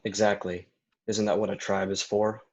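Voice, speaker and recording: Sarcastic low-voiced actor as a bitter guy. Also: little pitch movement, moderate pace, natural voice. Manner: snide, mocking. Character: sarcastic, bitter young man needling his friends. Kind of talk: staged play reading. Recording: dull lo-fi recording.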